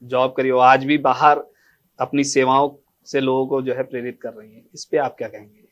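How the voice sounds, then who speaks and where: A man's voice: mid-range voice, flat delivery, moderate pace, dull audio. A man hosting a formal news interview.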